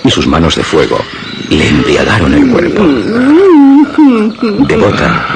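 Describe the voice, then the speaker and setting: A man narrating a scripted radio drama in a literary, dramatic way with measured phrasing. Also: deep voice, heavy background noise. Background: music.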